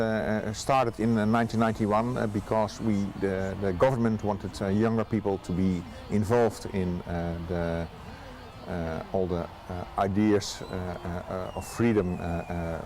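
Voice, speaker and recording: Low-voiced Dutch man, halting. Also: fairly even intonation, darkish tone, slight background noise. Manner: halting, many uh fillers. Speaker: festival manager. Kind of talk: outdoor interview. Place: city park outdoors.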